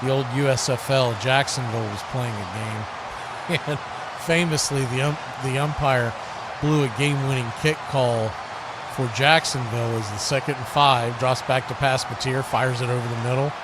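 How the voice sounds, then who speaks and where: A man's voice: mid-range voice, normal speed, very noisy. A man hosting a live stream, calling football play by play with casual, chatty asides between plays. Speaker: play-by-play host of a football watch party.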